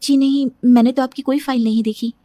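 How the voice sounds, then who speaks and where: A woman's voice: sits high, steady tone, brisk, dark tone, slight background noise, voice pushed up, close to an everyday voice. A woman voicing a devoted, earnest young daughter and bride in a cartoon moral story, with a pleading way of talking. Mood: meek, polite.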